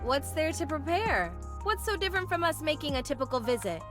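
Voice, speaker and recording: An actress playing an easygoing, self-deprecating young street urchin turned soldier in a video game, with casual, flippant bravado. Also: female voice, sits high, sing-song, a little fast, music in the background. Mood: breezy, teasing.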